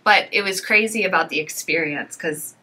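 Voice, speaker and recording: A woman's voice: on the low side, moderate pace, sharp tone, husky, low-quality recording. A woman yoga teacher, a native English speaker, chatting as a podcast guest and telling long rambling stories full of "like" and "you know". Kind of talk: casual podcast conversation.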